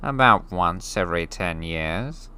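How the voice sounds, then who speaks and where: A man voicing a curt, self-important male goblin in a storybook reading, speaking slowly and flatly. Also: deep voice, clear, resonant tone, exaggerated voice.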